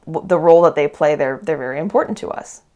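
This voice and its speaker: Low-voiced Canadian woman hosting a talk show. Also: fast, mellow. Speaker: host. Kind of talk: news segment then casual chat.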